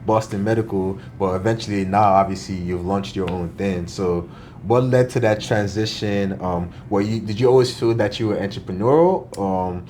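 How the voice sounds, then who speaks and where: A man hosting an interview podcast, talking in a relaxed way with plenty of "you know" and "um". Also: fairly low pitch, moderate pace, a little hiss or hum. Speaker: podcast host. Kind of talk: casual interview chat.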